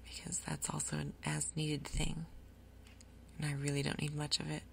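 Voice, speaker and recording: A woman doing soft-spoken ASMR game commentary in a breathy half-whisper, with a casual, rambling, unhurried manner. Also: low voice, flat delivery, a little hiss or hum. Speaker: gaming video host.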